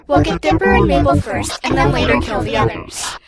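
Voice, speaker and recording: A performer voicing a faceless, telepathic monster leader, commanding and patronising, in a breathy, gravelly whisper. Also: fairly quick, natural voice. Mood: scheming. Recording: loud music over the voice.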